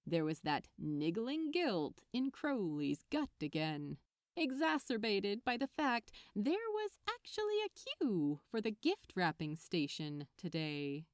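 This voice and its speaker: A woman's voice: mid-range voice, wildly swooping pitch, slow, no echo, clean studio sound. A woman reading light romantic fiction aloud as a scripted story narration. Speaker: podfic narrator.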